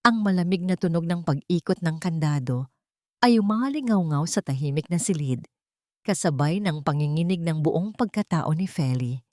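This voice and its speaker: Low-pitched woman narrating drama. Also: lively intonation, moderate pace, mellow, dry recording, studio quality. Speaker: story narrator. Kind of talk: scripted dramatic narration of a Tagalog love story.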